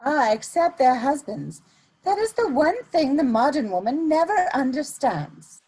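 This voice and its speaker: A woman playing a sly, worldly Victorian society lady, arch and smoothly polite, in a dramatic comedy reading. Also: high-pitched, sing-song, measured pace, mellow, low-quality recording.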